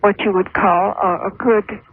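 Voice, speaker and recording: An older woman from Louisiana calling a radio show, speaking in a halting, measured way. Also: medium pitch, steady tone, husky. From Louisiana, USA. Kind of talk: phone-in conversation. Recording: muffled phone line on radio.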